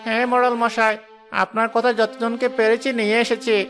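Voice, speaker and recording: Cartoon role: an eager old village uncle, voiced by a man, very high voice, deferential. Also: little pitch movement, a little fast, fairly bright tone, faint music behind. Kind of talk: Bengali cartoon voice acting.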